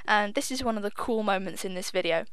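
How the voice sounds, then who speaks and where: A woman's voice: medium pitch, fast talker, fairly bright tone, clear, resonant tone, no echo. A young woman giving casual, chatty gameplay commentary. She is self-deprecating, swears offhandedly and admits she sounds a little nervous. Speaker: gaming video host.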